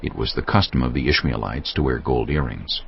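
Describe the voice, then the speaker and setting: A man's voice: extremely low pitch, a little fast, creaky, a little hiss or hum. A man narrating scripture from a script in a dramatic storytelling style. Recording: dull audio with music underneath. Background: music.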